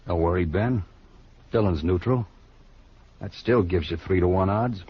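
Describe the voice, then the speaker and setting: An actor playing a smug, needling young cowboy rival in an old western radio drama. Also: male voice, low voice, measured pace, breathy edge. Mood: smug, taunting. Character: cocky, taunting young half-wild cowboy rival. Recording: dull old radio recording, faint music.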